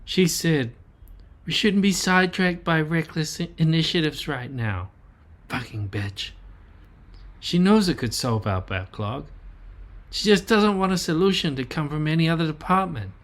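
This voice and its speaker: A man's voice: a little high, animated, a little slow, a bit bright. A man voicing an irritable, sarcastic wife who is combative, in an audio drama. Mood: sarcastic, bitter. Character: irritable, sarcastic wife venting about office rivals.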